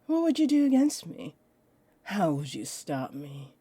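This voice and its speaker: A woman's voice: on the low side, sing-song, dark tone, husky, no echo, heavily acted. An actress playing a teasing, possessive female vampire in an audio roleplay, condescending and confident, speaking straight to the listener. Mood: skeptical, probing. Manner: smug, taunting, unhurried.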